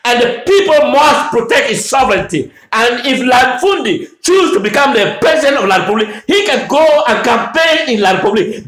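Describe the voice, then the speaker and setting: A man from Anglophone Cameroon giving a fiery political address, shouting and repeating his demands in a declamatory way. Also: extremely high pitch. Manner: shouting, repetitive declamatory oratory. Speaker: political activist making a public address. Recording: echoey room.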